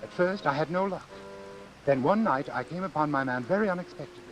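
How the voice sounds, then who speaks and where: An actor playing a cold, calculating doctor in a vintage suspense radio drama, speaking in a formal, precise, measured way. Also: male voice, on the high side, expressive, soft background music, natural voice, low-quality recording. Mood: cold, reflective. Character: cold, calculating male doctor obsessed with his experiment. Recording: hissy old radio recording.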